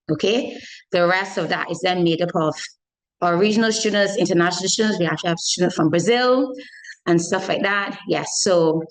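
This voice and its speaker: A woman on faculty staff, briskly explaining admissions at an open-day panel. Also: fairly low pitch, low-quality recording.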